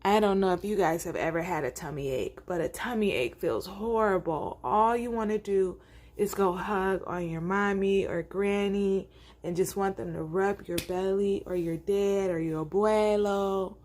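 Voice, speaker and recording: A woman's voice: mid-range voice, moderate pace, a little mellow. Woman reading a children's story aloud. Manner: addresses young listeners directly, conversational. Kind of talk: read-aloud narration with chatty asides.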